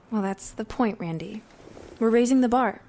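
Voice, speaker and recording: A woman's voice: a little high, unhurried, darkish tone, close to an everyday voice. A woman playing a sardonic, teasing young theater student in an audio drama. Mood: matter-of-fact. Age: young adult. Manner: dry teasing.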